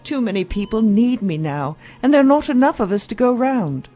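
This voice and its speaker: An actress playing a weary, dedicated and compassionate doctor in an audio drama, earnest and dutiful in manner. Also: female voice, fairly high pitch, big pitch swings, moderate pace, mellow, faint music behind. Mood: stubborn, weary.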